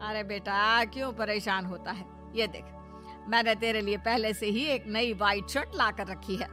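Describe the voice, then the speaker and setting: A woman's voice: medium pitch, moderate pace, pushed and bright, soft background music, natural voice. A woman voicing a nagging, suspicious older mother-in-law in a cartoon moral story, with a scolding, complaining manner. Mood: doting, smug.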